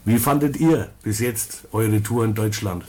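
A man's voice: on the low side, unhurried, a little mellow. A man hosting a casual radio interview, chatty and self-deprecating as he introduces his guests. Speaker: radio show host.

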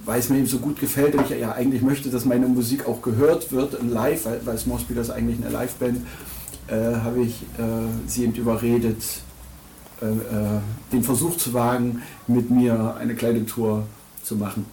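Hesitant, mellow-voiced man in an echoey room. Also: mid-range voice, level tone, normal speed, slight background noise. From Hamburg, Germany. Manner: hesitant, many fillers and repeated words. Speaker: tour manager and label owner, guest. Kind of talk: interview answers. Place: record shop studio.

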